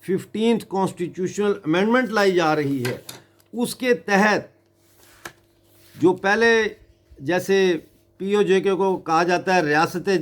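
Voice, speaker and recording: This is a man. He delivers a political appeal in a grave, deliberate way. He breaks his sentences with emphatic pauses, as an activist addressing a government would. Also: sits high. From Mirpur in Kashmir.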